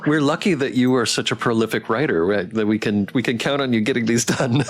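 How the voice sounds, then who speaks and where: A man's voice: medium pitch, brisk. A man hosting a casual livestream interview, speaking in an enthusiastic, encouraging manner. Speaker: stream host.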